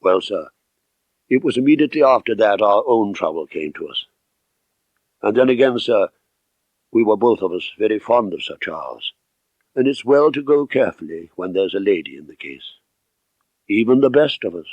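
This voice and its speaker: A man voicing a loyal household butler in an audiobook, respectful, measured and a little hesitant, guarded but earnest. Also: lowish voice, lively intonation, darkish tone, no room sound, lo-fi sound. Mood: deferential, earnest. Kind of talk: audiobook character dialogue.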